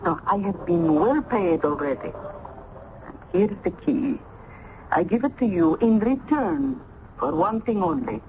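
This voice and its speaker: A woman's voice: lowish voice, animated, measured pace, darkish tone. An actress playing a motherly, sly Italian landlady with an Italian accent, teasing and bossy, in an old-time radio drama. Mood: sly, conspiratorial. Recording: muffled, noisy vintage radio recording.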